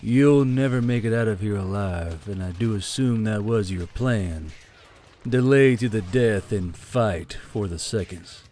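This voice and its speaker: A man's voice: lowish voice, a little slow, warm tone, natural voice. Man as a weary, grim troop commander. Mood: grim threat.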